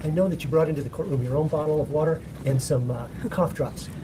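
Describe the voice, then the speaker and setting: A man examining a witness in a courtroom, speaking haltingly with many pauses and rephrasings. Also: a little high, background noise. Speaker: trial attorney.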